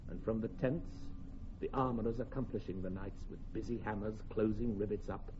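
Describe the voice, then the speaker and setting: A man playing a solemn Chorus narrator, speaking Shakespearean verse in a measured, declamatory way with dramatic swells and hushes. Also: mid-range voice, natural voice. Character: solemn Chorus narrator setting a night before battle. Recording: dull, faintly hissy recording.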